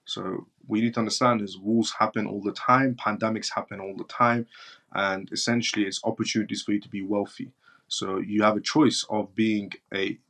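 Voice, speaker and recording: A man's voice: fairly low pitch, a bit bright. A man from northern Iran with as his hosts a live stream, answering viewer chat bluntly and repeating his points for emphasis. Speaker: live-stream show host.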